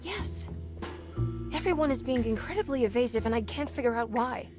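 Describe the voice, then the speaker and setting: A woman's voice: a little high, animated, normal speed, over music, lightly acted. An actress playing an earnest, worried and exasperated woman in a video game drama. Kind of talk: video game cutscene acting.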